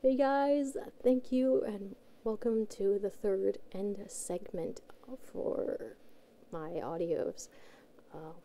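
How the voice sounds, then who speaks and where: Casual woman with a mellow voice. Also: mid-range voice, slow. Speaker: amateur voice actor, channel host. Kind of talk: casual rambling outro to listeners.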